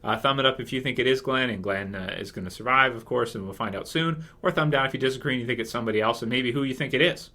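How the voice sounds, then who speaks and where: A man's voice: medium pitch, fast, hardly any pauses, sharp tone. A man hosting a casual fan commentary video, talking in a rambling way that circles back over his points. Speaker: video host.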